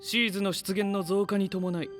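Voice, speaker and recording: A man's voice: high-pitched, little pitch movement, moderate pace, a bit bright, faint music behind. A man playing a haughty, logical young scientist-knight in an audio drama, lecturing formally with cool self-assurance. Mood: self-assured. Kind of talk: anime-style audio drama acting.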